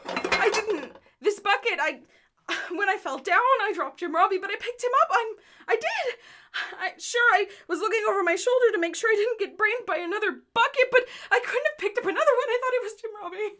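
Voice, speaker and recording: A woman's voice: extremely high pitch, expressive, brisk, sharp tone, full character voice. A woman playing a frantic but upbeat young adventurer in an audio drama, flustered and reassuring. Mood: rattled.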